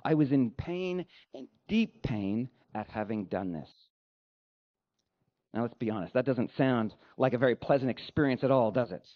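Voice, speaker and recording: A man preaching a sermon as a pastor, with an emphatic, measured rhetorical delivery. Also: medium pitch, animated, muffled recording. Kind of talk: sermon with read-out quotations.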